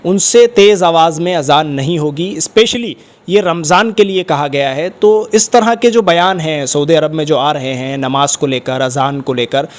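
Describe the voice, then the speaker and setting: A man's voice: a little high, normal speed. A man conducting a news interview, putting long, probing questions to a guest.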